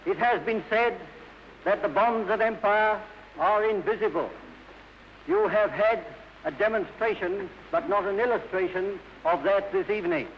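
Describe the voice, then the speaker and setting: A man's voice: high-pitched, a little slow, a little hiss or hum. A man giving formal after-dinner oratory in stately, separated phrases. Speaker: banquet speaker. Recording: reverberant hall, dull 1930s radio broadcast.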